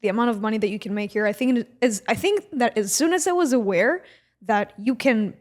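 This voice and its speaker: A woman in her twenties from Israel, co-hosting a casual podcast and chatting in a relaxed way with many fillers. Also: fairly high pitch, fairly quick. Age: 20s. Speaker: podcast co-host.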